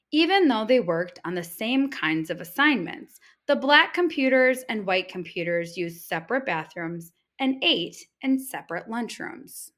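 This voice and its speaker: A woman reading an informational picture book aloud like a teacher, in a clear, deliberate storytelling manner with emphatic repetition. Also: medium pitch, a bit bright.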